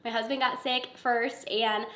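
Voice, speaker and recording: A woman in her twenties, hoarse and congested from a cold, chatting excitedly as she unboxes beauty products. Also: fairly high pitch, measured pace, roomy sound. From Michigan. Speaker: beauty YouTuber. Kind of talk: casual unboxing vlog.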